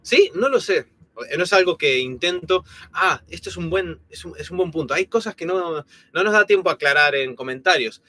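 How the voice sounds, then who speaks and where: Lively bright-voiced Argentine man. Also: sits high, moderate pace, no echo. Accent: Argentine Spanish, softened by years in Spain. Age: 30s. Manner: chatty, rambling, many fillers and restarts. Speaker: co-host of a live stream. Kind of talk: casual live-stream chat.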